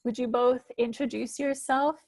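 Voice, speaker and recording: A woman's voice: on the high side, fairly even intonation, normal speed, a little mellow, no echo. A woman speaking as host and moderator, giving a formal introduction to an event.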